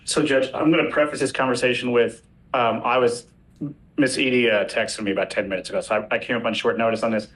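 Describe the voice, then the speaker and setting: A man's voice: mid-range voice, fairly even intonation, fast talker, fairly bright tone, poor audio. A man makes a legal argument to a judge as a defense attorney. He is articulate and pressing, yet keeps a formal, polite form of address. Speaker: defense lawyer.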